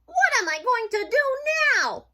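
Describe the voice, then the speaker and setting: A woman acts out a little storybook mouse, male, greedy and easily flustered. The voice is very high and bright, leaping about with squeaky, dramatic exclamations. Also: big pitch swings, slow pace, heavily acted. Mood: dismayed. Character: small, excitable, anxious, greedy young male mouse. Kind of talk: children's storybook read-aloud.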